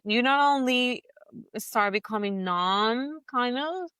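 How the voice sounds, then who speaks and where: Colombian lady on a podcast, spanish native, telling her story casually, really clear voice, dry sound. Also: on the high side, animated, takes it slowly, fairly bright tone, no room sound. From Bogota, Colombia. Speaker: podcast host. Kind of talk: conversational personal storytelling.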